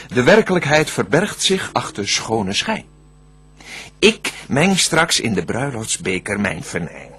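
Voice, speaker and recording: Husky devil guy scheming in an old verse radio play, slow-ish, very theatrical swoopy delivery. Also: mid-range voice, fairly bright tone, exaggerated voice. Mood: scheming, gloating. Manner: theatrical, scheming, deliberate declamation. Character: cunning, smooth-tongued male devil plotting to tempt mankind. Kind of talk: Dutch verse radio drama acting.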